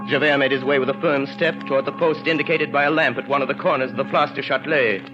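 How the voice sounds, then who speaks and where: A man narrating a literary radio drama of the 1930s in a grave, theatrical manner with measured phrasing. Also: medium pitch, steady tone, music in the background. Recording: muffled 1930s radio recording.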